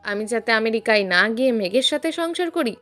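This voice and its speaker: A woman's voice: fairly high pitch, animated, fairly quick, fairly bright tone, pitched below the performer's own voice. A woman voicing a blunt, unashamed young husband, a defiant doctor, in an audio story. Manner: firm, blunt.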